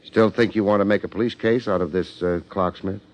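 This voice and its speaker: An American actor playing a wry, hard-boiled private detective in a 1950s radio drama, terse and streetwise. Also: male voice, on the low side, steady tone, a little fast, a little mellow, close to an everyday voice. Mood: terse, probing. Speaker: lead actor and narrator. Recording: muffled 1950s radio recording, faint music.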